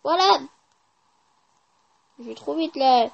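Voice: sits high, expressive, takes it slowly, vocal fry, muffled recording. A gaming YouTuber giving casual, informal gameplay commentary with slang and swearing.

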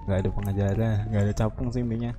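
A man playing a roleplay game, chatting casually and excitedly, repeating short commands and swearing. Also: fairly low pitch, very fast. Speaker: player in a GTA roleplay game. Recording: loud game sirens and vehicle noise behind.

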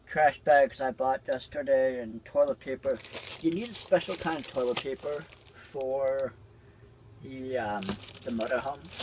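A man's voice: mid-range voice, a little hiss or hum, poor audio. A man giving a casual, unscripted vlog tour, explaining things in a rambling, repetitive way on amateur handheld camera audio. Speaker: vlogger showing his motorhome. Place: small motorhome bathroom, indoors. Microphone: handheld camera or phone, held by speaker.

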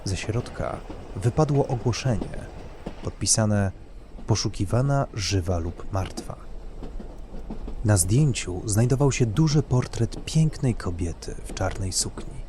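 A man's voice: lowish voice, lively intonation, unhurried, background noise. A man narrating a scripted dramatic story as its reader.

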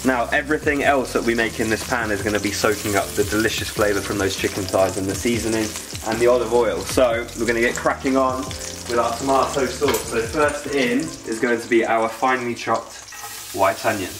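A man's voice: medium pitch, steady tone, fairly quick, noisy background. A British man in his twenties hosting a casual cooking tutorial in an informal, enthusiastic way from a home kitchen. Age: 20s. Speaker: cooking video host.

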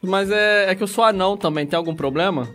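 High-pitched guy prank calling, acting innocent and trolling, light music behind. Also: fast, a bit bright. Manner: provoking, mock-innocent. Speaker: prank caller. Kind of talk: prank phone call.

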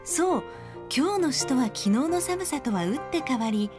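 Female announcer for scripted spots: high, very melodic delivery, music underneath. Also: lively intonation, moderate pace. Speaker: radio DJ and voice-over artist. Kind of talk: scripted commercial and narration reading.

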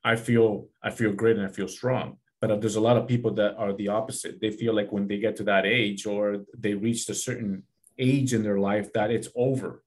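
Low-pitched man in his forties, earnest. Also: level tone, normal speed. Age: 40s. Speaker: podcast host. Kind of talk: casual interview chat. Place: small office room, video call.

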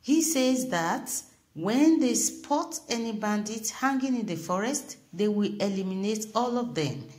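Woman news presenter, slow and halting reads, average pitch. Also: lively intonation, a little mellow. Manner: slow, halting reading with fillers and restarts. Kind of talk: read news report with commentary.

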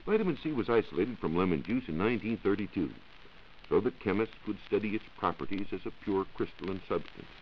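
An American man giving a scripted, measured science talk as a reporter on a 1940s radio show. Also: on the low side, lively intonation. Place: radio studio. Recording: muffled 1940s radio recording with hiss.

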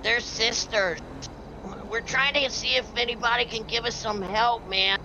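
A man's voice: very high-pitched, fairly even intonation, unhurried, gravelly, highs cut off, background noise, exaggerated voice. A man playing a dopey, laid-back stoner worried about his plants, rambling in slacker talk in an improvised game role-play. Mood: worried, dazed.

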